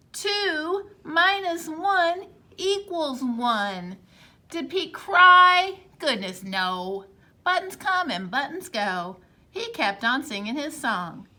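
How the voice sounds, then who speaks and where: A woman teacher reading a picture book aloud to young children in an animated, exclamatory storytime voice. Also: high voice.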